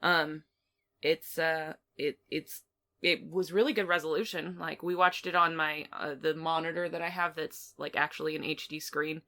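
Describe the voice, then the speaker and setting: A woman's voice: low-pitched, fairly even intonation, normal speed, sharp tone. A woman co-hosting a film review podcast, talking casually and informally with some mild swearing. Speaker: podcast co-host reviewing films.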